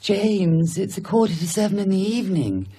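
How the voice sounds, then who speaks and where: A very husky woman's voice with highly animated pitch. She is a brisk, bossy carer in a horror radio drama, giving orders out of concern. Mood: matter-of-fact. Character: caring, bossy woman looking after a troubled friend.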